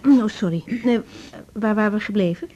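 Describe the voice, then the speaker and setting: An actress playing a caring, reflective young woman doctor in a radio drama, gentle and slightly hesitant. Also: mid-range voice, normal speed, highs cut off, lightly acted. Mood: attentive. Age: young adult. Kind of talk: radio drama dialogue and diary-like narration.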